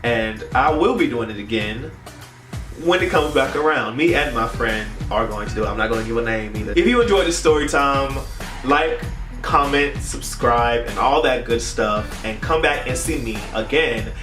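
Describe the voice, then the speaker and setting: A man's voice: mid-range voice, moderate pace. Echoey, casual young American man storytelling. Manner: animated storytelling, acting out quoted dialogue. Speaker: vlogger. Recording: roomy echo, distant mic, faint music.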